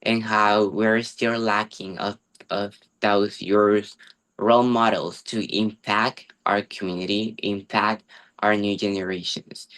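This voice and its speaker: Eager low-voiced teenage man on a call. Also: flat delivery, slow, fairly bright tone, poor audio. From El Salvador. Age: teens. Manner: eager, friendly, with fillers. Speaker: international webinar speaker. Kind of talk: prepared presentation on video call. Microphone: video call.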